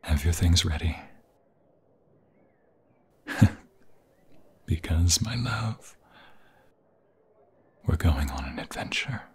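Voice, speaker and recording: A lovestruck vampire fiancé , acted by a man, murmurs in a deep, very husky and breathy voice. He speaks slowly and haltingly, with sentimental, flowery tenderness, often slipping toward a whisper, as in an intimate ASMR boyfriend roleplay. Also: expressive, a little mellow, heavily acted. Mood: soft, hopeful.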